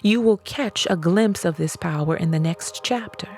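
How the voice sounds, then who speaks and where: A woman reading an audiobook as a dramatic storyteller, deliberate and expressive in her scripted narration. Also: on the low side, faint music behind.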